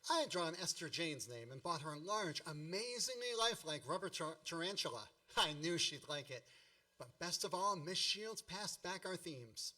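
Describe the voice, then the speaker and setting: A man's voice: a little high, expressive, normal speed, pushed and bright, far from the mic, heavily acted, rough recording. An actor narrating a radio play as a wry grown man recalling boyhood with mock-grand melodrama.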